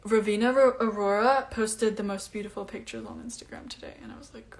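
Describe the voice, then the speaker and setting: A woman's voice: mid-range voice, steady tone, fairly quick, a little hiss or hum. A young woman, a singer chatting casually at home during a phone livestream, answering comments in a breezy way with lots of fillers. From Iceland. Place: at home, indoors.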